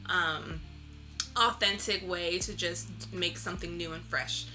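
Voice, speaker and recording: Woman, bright forceful voice, mid pitch, informal and reflective artist interviewee, music underneath. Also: fairly even intonation, unhurried. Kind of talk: casual interview chat. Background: instrumental lo-fi music.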